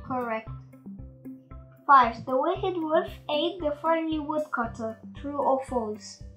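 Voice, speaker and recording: A woman with a high voice reads a children's story aloud at a slow, deliberate pace. She enunciates each phrase for young listeners, with music playing behind her. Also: a bit bright. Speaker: storyteller and quiz host.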